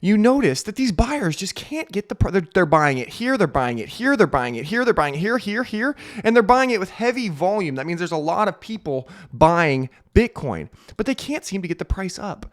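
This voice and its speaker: A man's voice: high-pitched, big pitch swings. A man with a slightly sick, nasal voice walks through trading charts in a hyped, sarcastic way. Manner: hyped, sarcastic, fast-talking. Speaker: crypto YouTuber. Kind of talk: excited trading chart walkthrough.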